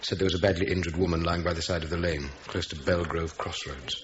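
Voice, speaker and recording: Man playing detective, extremely low voice, brisk, formal, unruffled, radio drama, dull recording. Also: fairly even intonation, lightly acted. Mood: formal, factual. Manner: official, measured wording, matter-of-fact. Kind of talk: radio crime drama acting. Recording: dull lo-fi audio, faint noise.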